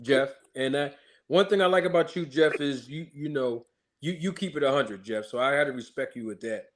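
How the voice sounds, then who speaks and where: A man's voice: mid-range voice, normal speed. A male channel host giving an emphatic commentary rant, mocking critics with repeated rhetorical questions.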